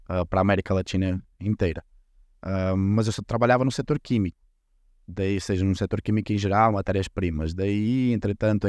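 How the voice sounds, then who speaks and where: A middle-aged man from Portugal whose accent blends Portuguese and Brazilian, talking as a guest in a relaxed, rambling way with frequent restarts. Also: fairly low pitch, no echo, clean studio sound. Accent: Portuguese mixed with Brazilian. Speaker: company sales director, guest. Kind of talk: interview conversation.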